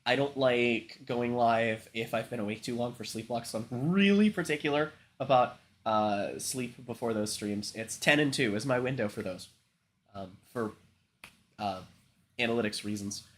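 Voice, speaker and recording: A congested, sick man hosts a livestream, his talk loose and rambling, studded with swearing and ums. His voice sits at an ordinary middle pitch, and a cough breaks through now and then. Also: normal speed. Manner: rambling, frequent swearing and filler words. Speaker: livestream host. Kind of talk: casual chat.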